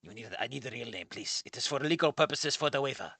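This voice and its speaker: A man voicing a mysterious old dojo master in a video game, with a mock Japanese accent and halting, formal, polite speech. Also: mid-range voice, lively intonation, bright, forceful tone, no room sound, pitched below the performer's own voice. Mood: politely insistent.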